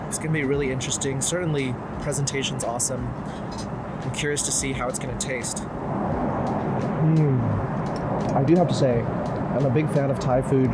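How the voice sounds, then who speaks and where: A man of medium pitch narrates a travel vlog from his seat on a plane, relaxed and talking straight to his viewers. A loud, steady engine drone sits under his voice the whole time. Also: heavy background noise. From Canada. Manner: relaxed, reflective, talks to viewers directly. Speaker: travel vlogger. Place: airplane cabin in flight.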